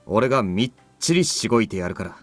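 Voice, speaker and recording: Actor as tough young male trainer for visual novel: firm, quick delivery, dry studio sound. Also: on the low side, animated, no echo, soft background music, natural voice. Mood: confident, firm. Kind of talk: Japanese visual novel voice acting.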